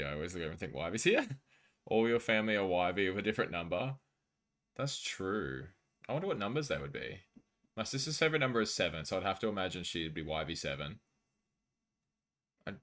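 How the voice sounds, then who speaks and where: Low-voiced Australian man chatting casually. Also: a bit bright. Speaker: live streamer. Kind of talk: casual chat with stream viewers, reading out messages.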